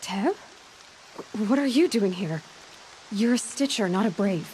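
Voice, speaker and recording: A woman's voice: medium pitch, animated, a little slow, a little mellow, slight background noise, natural voice, poor audio. A woman acting a resolute young outcast warrior in a video game cutscene, earnest and determined. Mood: surprised.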